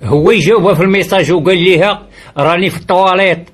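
A man with a Moroccan accent telling short comic stories as a lively narrator. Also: high-pitched, very fast, very noisy. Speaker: joke teller, narrator. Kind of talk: animated comic storytelling of short jokes.

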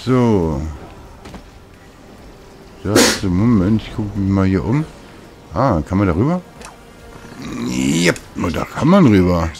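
A man's voice: lowish voice, expressive, slow pace, creaky, long pauses. A man casually commenting on a video game as he plays, muttering to himself, with game music behind him. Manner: chatty, mutters to himself while playing. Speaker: let's play host.

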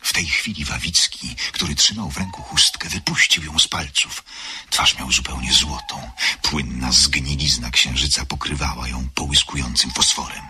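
Male radio drama narrator with a very deep but bright voice and a measured, literary delivery. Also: a little hiss or hum.

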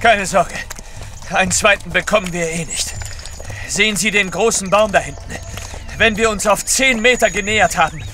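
An actor playing a proud, vengeful army officer in an audio drama, brisk and confident. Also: male voice, high voice, sharp tone, soft background music. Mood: commanding.

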